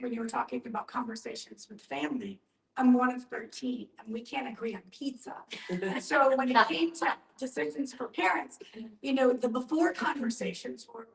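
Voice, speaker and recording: Female discussion participant, higher pitch, conversational and emphatic, recorded at a distance with noticeable room echo. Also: creaky. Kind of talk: conversational advice. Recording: echoey room, distant from mic.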